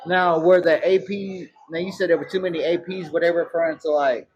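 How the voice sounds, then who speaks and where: A man's voice: on the high side, steady tone, a little fast, muffled recording. A man hosting a casual interview, asking loose, rambling questions in slang. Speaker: host.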